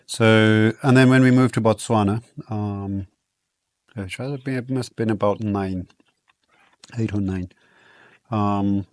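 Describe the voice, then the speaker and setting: Podcast guest: low-pitched South African man, Afrikaans first language, unhurried and reflective, lots of ums, dry close mic. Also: dry recording. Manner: relaxed, reflective, with frequent ums and restarts. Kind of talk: casual interview storytelling.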